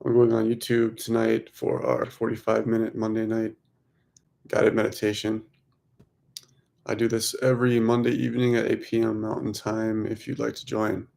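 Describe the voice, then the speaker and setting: Man, meditation guide, very flat monotone, slightly low pitch, soothing, short phrases with long pauses. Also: gravelly. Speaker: meditation guide, host of a weekly group.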